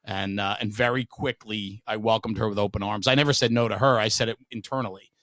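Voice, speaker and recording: A man's voice: on the low side, steady tone, quick pace, a bit bright, no room sound. A middle-aged man telling stories casually as an interview guest, frank, emphatic and opinionated. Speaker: podcast guest, veteran firefighter and speaker.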